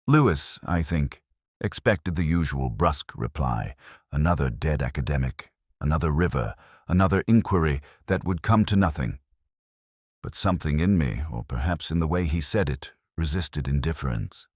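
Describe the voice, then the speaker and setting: A world-weary, cultured male inspector, played by an actor, narrates his own detective story in an extremely low voice. His delivery is measured and introspective, with melodic rises and falls, in a close, dry sound. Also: lively intonation, no room sound. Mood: brooding, melancholy. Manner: measured, brooding, reflective. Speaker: first-person narrator of a detective drama. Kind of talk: slow, literary scripted audio-drama narration.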